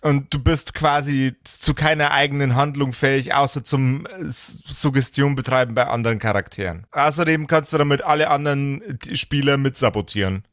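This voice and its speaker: A man's voice: mid-range voice, a little fast, few pauses, fairly bright tone, no room sound, studio quality. A man talking casually as the game master of a tabletop role-playing group.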